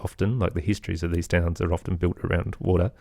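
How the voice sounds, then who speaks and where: A man's voice: low-pitched, flat delivery, fast, vocal fry, dark tone, no room sound, studio quality. A middle-aged man giving thoughtful, earnest answers as an interview guest in a conversational way. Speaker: interview guest, podcaster and musician.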